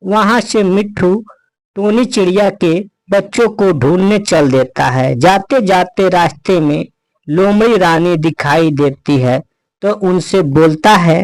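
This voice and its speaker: A man's voice: high voice, a little slow, dry recording, low-quality recording. A man narrating a scripted children's animal story as the storyteller.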